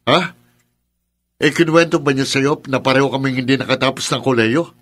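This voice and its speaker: A man's voice: medium pitch, a little fast, fairly bright tone, close to an everyday voice. A man playing a firm, protective young adult son and brother in a radio drama, insistent and questioning, with a Filipino accent. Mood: indignant, confronting. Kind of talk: Tagalog radio drama acting.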